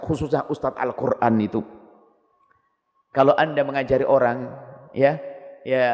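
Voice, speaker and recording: Animated male preacher in a reverberant hall. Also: medium pitch. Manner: animated, humorous, acts out quotes. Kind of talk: sermon.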